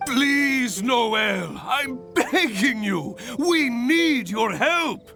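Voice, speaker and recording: An actor playing a theatrical, enthusiastic adventurers guild master in a video game, with a grandiose and effusive delivery. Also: male voice, extremely high pitch, expressive, very slow, over music, exaggerated voice. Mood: desperate pleading. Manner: grandiose, effusive, pleading persuasively. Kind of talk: video game character acting.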